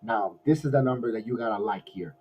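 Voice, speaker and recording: A man hosting a casual post-game sports podcast, his voice hoarse, rambling with many restarts like an excited fan. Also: fairly low pitch, fairly quick. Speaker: podcast host. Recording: dull lo-fi audio.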